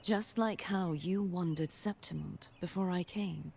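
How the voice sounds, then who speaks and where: A woman voicing a solemn, steadfast mentor in a video game, speaking gravely and encouragingly. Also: fairly low pitch, a little slow, background noise. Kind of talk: video game story dialogue.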